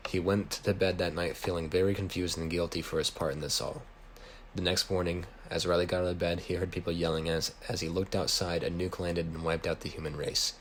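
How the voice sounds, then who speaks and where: An American man with a low voice reads a story he wrote. He works from a written text as an amateur storyteller. There is faint noise in the home recording. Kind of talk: reading own written story aloud.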